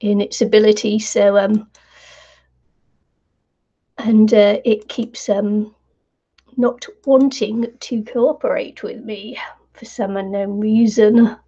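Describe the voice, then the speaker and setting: Older woman craft host, croaky and gravelly, rambling and friendly, lo-fi live stream sound. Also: medium pitch, steady tone, takes it slowly, long pauses, warm tone. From New Zealand. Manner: chatty, laughs often, many fillers. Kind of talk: casual live stream chat. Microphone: computer mic on live stream. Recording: dull lo-fi stream audio.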